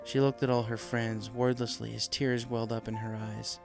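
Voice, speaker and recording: Casting: male audiobook narrator, mid-pitched voice, scripted fan fiction reading with music underneath. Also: flat delivery, normal speed, gravelly.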